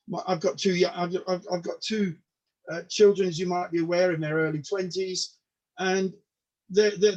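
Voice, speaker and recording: A man in his sixties with a notably high voice, recorded in dull, lo-fi audio. He grew up in the UK with Polish as his first language. He chats casually and self-deprecatingly as a guest, often repeating words as he gets going. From United Kingdom. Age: mid-sixties. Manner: stammering repetitions, self-deprecating. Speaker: podcast guest, comedian. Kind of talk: casual interview chat.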